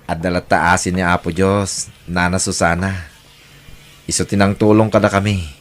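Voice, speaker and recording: A man with an Ilocano accent voicing a humble, devout poor father in an audio drama, gentle and earnest. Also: deep voice, flat delivery, normal speed, lightly acted. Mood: grateful. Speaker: voice actor.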